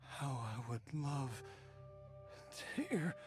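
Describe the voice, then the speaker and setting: A tender, world-weary husband and father in a game. His mid-pitched voice is slow and halting, set over clearly audible music. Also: big pitch swings, darkish tone. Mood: wistful. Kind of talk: video game voice acting.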